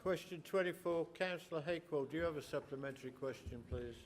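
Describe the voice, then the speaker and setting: A man chairing a council meeting, calling on speakers in a brisk, firm procedural tone. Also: fairly high pitch, slight background noise.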